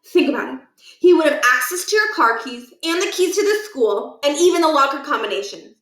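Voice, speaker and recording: A woman's voice: high-pitched, lively intonation, a little fast, fairly bright tone, roomy sound, voice pushed up, rough recording. A woman reading a storybook, playing an earnest schoolboy who reasons politely with grown-ups. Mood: persuasive. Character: earnest, persuasive schoolboy, polite student helper.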